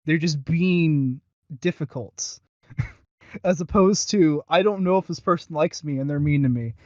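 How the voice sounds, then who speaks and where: Man, podcast co-host, casual review chat, halting, self-deprecating, dry muffled lo-fi recording. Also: fairly high pitch, no room sound. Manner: halting, self-deprecating, often loses his thread. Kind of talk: casual chat, reviewing audio roleplay series.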